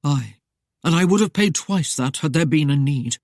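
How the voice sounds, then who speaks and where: A woman's voice: sits low, expressive, moderate pace, a little mellow, dry recording, clean studio sound. A woman voicing a self-assured nobleman who speaks in a formal, leisurely drawl. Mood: composed.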